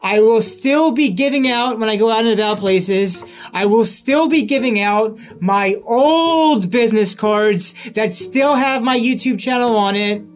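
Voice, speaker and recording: Guy with a pretty high voice going on and on, repeats himself a lot, bit of music in the back. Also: unhurried, hardly any pauses. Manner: repetitive, restarts phrases, earnest. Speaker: podcaster and video creator. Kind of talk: rambling solo update.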